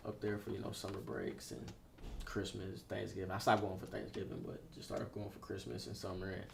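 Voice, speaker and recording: A young man from Detroit, a rapper, talking about his music in a relaxed, slangy podcast chat. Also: low-pitched, fairly quick, gravelly, slight background noise. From Detroit, USA. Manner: laid-back, slangy, repeats "you know what I'm saying". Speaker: rapper being interviewed.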